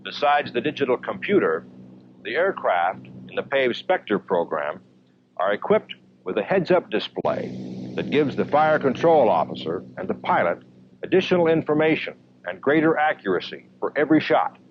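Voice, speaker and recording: An American man narrates a military training film in a measured, emphatic style. His pitch moves in an animated way over a mid-range voice. The sound is a dull 1970s film track with faint noise behind it. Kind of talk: scripted military documentary narration.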